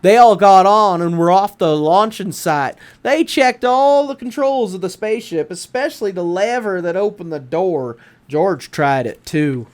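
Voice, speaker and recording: Southern US man, very animated storybook narrator. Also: sits high, measured pace. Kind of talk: expressive children's read-aloud.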